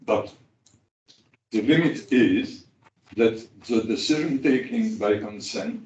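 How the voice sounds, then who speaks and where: A man speaking as a cooperative practitioner on a panel, pausing often with fillers. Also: mid-range voice, darkish tone. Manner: halting, many pauses and fillers. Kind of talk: panel discussion remarks. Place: large conference hall. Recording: echoey hall, distant dull mic.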